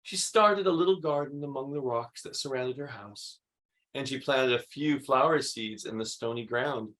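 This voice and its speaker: A man reading a children's story aloud expressively, with emphatic delivery and dramatic pauses. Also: mid-range voice, a bit bright. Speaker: read-aloud host.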